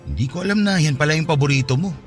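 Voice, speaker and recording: An actor playing a persistent, teasing young boyfriend in a radio drama, with a conversational, questioning way of talking. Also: male voice, fairly high pitch, rapid-fire, lightly acted. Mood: teasing, casual. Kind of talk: Tagalog radio drama acting. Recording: music behind the voice.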